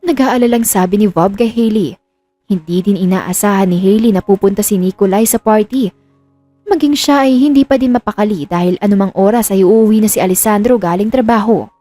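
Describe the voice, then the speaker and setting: A woman's voice: mid-range voice, fairly quick, dark tone, faint music behind. A woman reading a scripted romance story as a dramatic storyteller.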